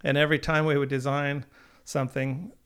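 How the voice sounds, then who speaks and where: Creaky smooth-voiced man rambling. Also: mid-range voice, level tone, a little fast, a bit bright. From Oregon. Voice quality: nasally. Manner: rambling with frequent restarts. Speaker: decoy company founder. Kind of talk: casual podcast conversation.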